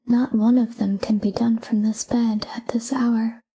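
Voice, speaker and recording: Breathy, whispering woman guiding sleep meditation. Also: fairly high pitch. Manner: calm, unhurried, short phrases with pauses. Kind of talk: soft guided sleep meditation, whispered talk-down.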